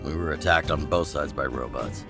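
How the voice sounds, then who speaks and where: A man voicing a gruff, wry older rebel chief in a video game, with a measured, sardonic delivery. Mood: relaxed, assured. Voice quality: deep, gravelly. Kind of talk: scripted video game voice acting. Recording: game music under the voice. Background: game music.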